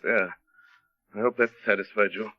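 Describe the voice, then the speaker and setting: An actor playing a brusque, troubled husband and master of a country house, clipped and imperious, in 1940s radio style. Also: male voice, lowish voice, fairly even intonation, normal speed, faint music behind, close to an everyday voice. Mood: angry, bitter. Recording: muffled vintage radio recording.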